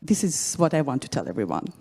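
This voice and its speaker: A woman from Bangladesh, speaking earnestly and haltingly as an award recipient in an onstage interview. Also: low-pitched, sing-song, gravelly, darkish tone, breathy edge. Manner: earnest, halting, repeats phrases. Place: large event hall. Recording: long hall reverb.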